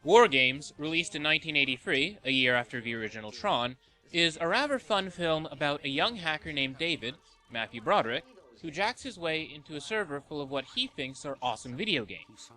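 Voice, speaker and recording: A man's voice: fairly high pitch, sing-song, fairly quick, sharp tone, soft background music, low-quality recording. A man reading a scripted review narration as the host of a countdown list video.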